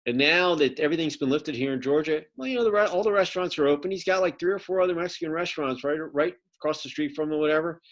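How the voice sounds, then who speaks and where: A male interview host tells a loose, rambling story, full of fillers. His voice sits a little high for a man. The sound is dull and lo-fi. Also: quick pace, hardly any pauses. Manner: rambling anecdote with many fillers. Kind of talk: casual interview chat. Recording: dull lo-fi audio.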